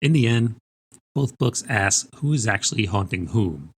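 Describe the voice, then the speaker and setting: A man's voice: lowish voice, lively intonation, moderate pace, breathy edge. A man co-hosting a podcast, talking conversationally about books. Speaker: book podcast co-host. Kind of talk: conversational podcast book talk.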